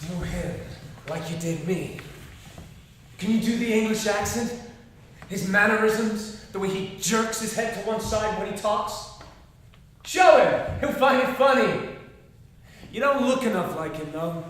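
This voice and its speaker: An actor playing a taunting, possessive phantom of a jilted friend, speaking in goading, insistent, clipped phrases in a drama. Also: male voice, high voice, a little slow, fairly bright tone. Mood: goading, mocking. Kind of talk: dramatic acting. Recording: very echoey, distant, faint noise.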